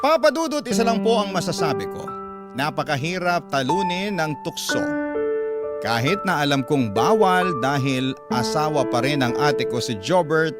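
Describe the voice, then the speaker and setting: A man's voice: on the high side, animated, moderate pace, loud music behind the voice. A man narrating a first-person confessional letter as the host of a Filipino radio love story show.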